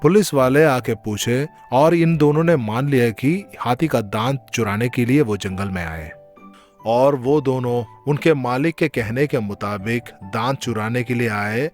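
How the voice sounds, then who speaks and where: Male storyteller for a children's moral tale, mid-pitched, music underneath. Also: measured pace, darkish tone. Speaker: storyteller narrator. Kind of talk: scripted children's story narration.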